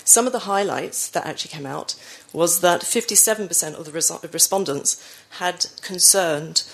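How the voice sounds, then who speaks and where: A woman presents to a conference audience, her voice low and gravelly and roughened by a cold. She talks through slides with frequent ums and restarts, correcting herself as she goes. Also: moderate pace, fairly bright tone, low-quality recording. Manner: frequent fillers and self-corrections. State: croaky from a cold. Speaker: conference speaker.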